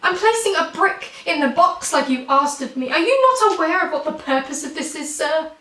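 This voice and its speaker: A young woman performs spoken word poetry in a high, croaky voice that sounds stuffed up, as if her nose is blocked. Her delivery is wry and self-mocking, landing each line with punch. She sits back from the mic in a roomy, echoing space. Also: animated, moderate pace, sharp tone, far from the mic. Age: 20s.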